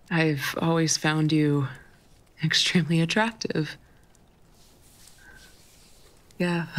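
Woman as female best friend with a secret crush: lowish voice, unhurried, teasing, tender, intimate ASMR roleplay. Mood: tender, confessing. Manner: teasing, halting, giggly delivery. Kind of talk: intimate ASMR roleplay, acted one-sided conversation.

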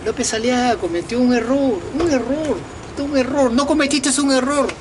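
Fifties guy from peru ranting to camera outside at night, slangy and animated, city traffic humming behind. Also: extremely high pitch, normal speed. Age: 50s. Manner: animated, talks to viewers directly with slangy asides. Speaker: vlogger and self-declared political candidate. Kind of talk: casual rambling monologue to camera. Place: outdoors at night on a balcony over a lit city.

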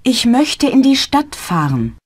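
A woman's voice: high voice, wildly swooping pitch, measured pace, slightly rough, exaggerated voice. A woman voicing a polite young language student in a scripted learner dialogue.

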